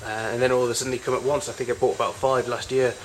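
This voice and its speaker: A man with a mid-range voice talks through a collection in an informal, unscripted way. His delivery is halting and rambling, and he often corrects himself as he goes. He sounds like a hobbyist showing things off piece by piece. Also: medium pitch, flat delivery, faint music behind, low-quality recording. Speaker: collector showing vintage tape recorders. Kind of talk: informal unscripted show-and-tell commentary.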